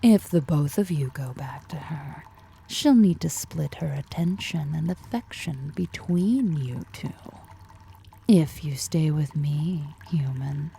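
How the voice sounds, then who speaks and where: A woman playing a seductive, powerful female drider in an ASMR roleplay, toying and sultry, murmuring with a breathy, husky edge. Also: sits low, slow pace, dark tone, a little hiss or hum, full character voice. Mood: persuasive. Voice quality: breathy, husky whisper-edged.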